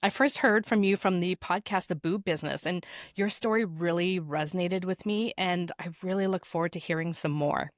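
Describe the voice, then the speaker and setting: A woman's voice: lowish voice, normal speed, no room sound, clean studio sound. A woman hosting an interview podcast, moving between scripted introduction and conversational talk.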